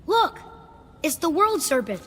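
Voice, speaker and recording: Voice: very high voice, sing-song, measured pace, a bit bright, full character voice. A performer playing an earnest, curious young boy, the son of a god, in a dramatic video game, eager and inquisitive. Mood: eager, excited.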